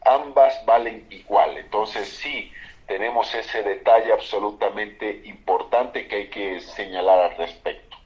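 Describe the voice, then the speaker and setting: A man's voice: on the low side, measured pace, a little mellow, poor audio. A man from Bolivia, a lawyer, explaining constitutional law emphatically on a political talk show. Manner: emphatic, explanatory, repeats for stress.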